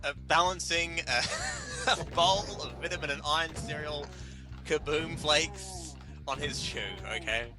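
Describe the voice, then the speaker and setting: A man's voice: a little high, a little slow. A man giving a theatrical, comedic dramatic reading of a humorous article to friends, prone to breaking up as he reads. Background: music.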